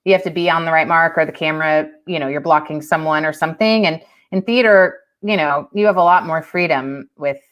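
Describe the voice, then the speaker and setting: Low-voiced woman on a video call. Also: a little fast, hardly any pauses, muffled recording. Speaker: guest, actress. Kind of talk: casual video-call chat.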